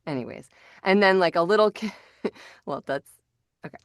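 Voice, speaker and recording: A woman's voice: medium pitch, normal speed, no echo, studio quality. A woman hosting a casual chat, rambling and often interrupting herself. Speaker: host.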